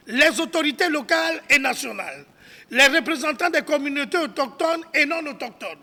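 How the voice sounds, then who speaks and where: A man with a very high, creaky voice reads a formal statement. His delivery is solemn and declamatory, with occasional stumbles over the written text. Long reverb from a hall trails his bright, forceful words. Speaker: civil society spokesman. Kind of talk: formal public statement read aloud.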